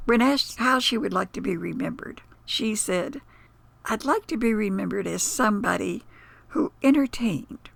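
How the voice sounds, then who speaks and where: A woman with a gravelly, breathy voice reading scripted narration as a presenter in a slow, solemn manner. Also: medium pitch, animated, no room sound. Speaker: host and presenter.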